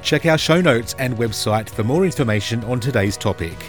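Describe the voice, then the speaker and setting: A man's voice: mid-range voice, animated, fairly quick, soft background music. A man co-hosting a podcast, wryly feeding questions and laughing easily. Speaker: podcast co-host. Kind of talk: scripted podcast chat with banter. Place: recording studio.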